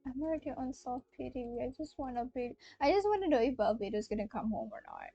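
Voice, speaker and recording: A woman's voice: high voice, wildly swooping pitch, fast, gravelly, no room sound, dull audio. A young woman from Malaysia chatting casually on a game livestream, hesitant and often repeating herself. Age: young adult. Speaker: game streamer. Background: soft game music.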